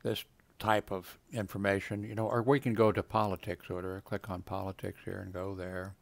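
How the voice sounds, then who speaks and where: A man's voice: sits low, little pitch movement, moderate pace, vocal fry, no room sound. A man in his seventies reading web pages aloud at his home computer and wandering off into casual asides full of fillers. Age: 70s. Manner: meandering, many fillers and trailing asides. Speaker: video blogger.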